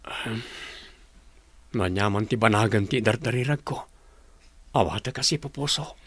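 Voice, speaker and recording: An actor with an Ilocano accent playing a lovesick, self-pitying boss in a radio drama. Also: male voice, lowish voice, normal speed, fairly bright tone, husky, dry recording. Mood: hurt.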